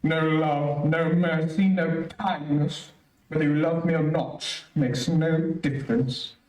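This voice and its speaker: A man's voice: fairly high pitch, fairly even intonation, takes it slowly, darkish tone. A man playing a merciless, haughty alien emperor in an old-time radio adventure, pompous and commanding. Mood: cold, gloating. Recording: echoey, distant streamed stage audio.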